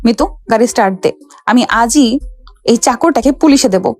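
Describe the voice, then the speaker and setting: A woman's voice: fairly high pitch, normal speed, close to an everyday voice. A woman playing a haughty, hot-tempered rich young woman in an audio love story, imperious and scolding. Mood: furious, haughty.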